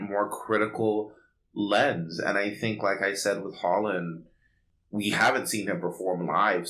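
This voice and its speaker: A man's voice: on the low side, fairly bright tone, slight background noise, low-quality recording. A man co-hosting a podcast, chatting casually and giving blunt, sassy opinions in slangy speech. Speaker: podcast co-host. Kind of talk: casual opinionated pop culture chat.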